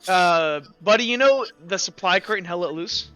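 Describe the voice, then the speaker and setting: Male game master, high bright voice, casual and rambling, often correcting himself mid-explanation. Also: expressive, moderate pace, smooth, no room sound, soft background music, low-quality recording. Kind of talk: casual tabletop game narration and table talk.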